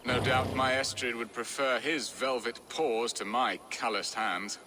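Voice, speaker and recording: A man's voice: mid-range voice, fairly even intonation, a little slow, pushed and bright, slight background noise. A man playing a theatrical, self-mocking Saxon lord in a game cutscene, with florid, wry speech. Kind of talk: dramatic game cutscene acting.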